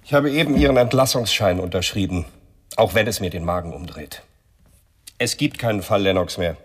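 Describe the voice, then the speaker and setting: A man acting a police inspector in a radio crime play. The voice is low and husky, gruff and condescending, always carrying a threat. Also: a little fast, natural voice. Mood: grudging, threatening. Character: gruff, menacing male police inspector, smugly hostile. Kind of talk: radio crime drama dialogue.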